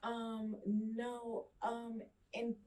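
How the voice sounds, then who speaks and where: A woman's voice: a little high, completely flat delivery, drawn-out words, darkish tone, distant mic, poor audio. Woman from Kenya, guest, short hesitant answers, a bit off mic.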